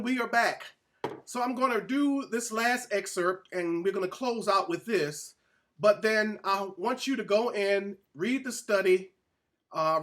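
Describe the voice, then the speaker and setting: High-voiced man teaching scripture emphatically. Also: a bit bright. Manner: emphatic, didactic, addressing listeners directly. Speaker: host and author reading from own book. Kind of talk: religious teaching talk with read-aloud excerpts.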